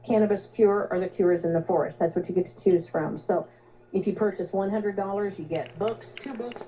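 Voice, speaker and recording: A woman hosting a radio show, making an unscripted sales pitch over shortwave. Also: a little fast. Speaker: radio host selling supplements. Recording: dull narrow-band shortwave radio.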